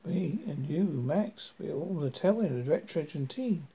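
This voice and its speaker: A man with a husky, creaky voice reading a first-person story dramatically, haltingly and stumbling over words as a horror host. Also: fairly high pitch, expressive, lo-fi sound.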